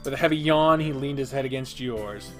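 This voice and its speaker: A man's voice: medium pitch, brisk, a bit bright, faint music behind. A man reading a visual novel aloud, narrating in plain second person. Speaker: let's play reader.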